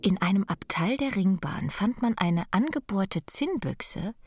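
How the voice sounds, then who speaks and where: A woman's voice: mid-range voice, lively intonation, a little slow, darkish tone, dry recording. A woman reading out news reports as a scripted bulletin within a radio play. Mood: matter-of-fact. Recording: dry, faint music behind. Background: faint music.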